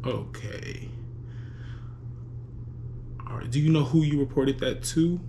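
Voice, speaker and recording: Male prank caller, mid-pitched, polite bureaucratic delivery, halting pauses, faint background noise. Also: vocal fry, dark tone. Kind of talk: prank phone call posing as a parole officer.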